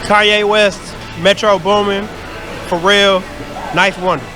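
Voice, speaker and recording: A man's voice: sits high, level tone, unhurried. An American man, a music producer, answering interview questions about himself in a casual way. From St. Louis, United States.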